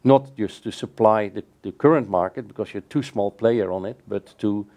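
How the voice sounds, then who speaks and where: A middle-aged man, answering panel questions in a measured, explanatory way with frequent restarts. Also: lowish voice, warm tone. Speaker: keynote speaker. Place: bright room by large windows over a canal.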